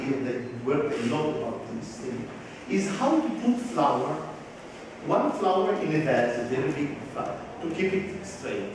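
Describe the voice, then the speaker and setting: A man whose first language is Hebrew, explaining glassmaking to an interviewer in halting English, often stopping to search for words. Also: a little high, heavy reverb, in a large hall, distant mic, background noise. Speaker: glass designer. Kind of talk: explaining his work to an interviewer.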